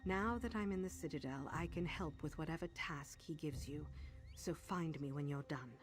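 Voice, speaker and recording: Woman acting a plucky rebel ally, lower pitch, familiar, practical, confiding, video game dialogue, faint music. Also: moderate pace, close to an everyday voice. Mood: conspiratorial. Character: restless, plucky female rebel ally plotting infiltration.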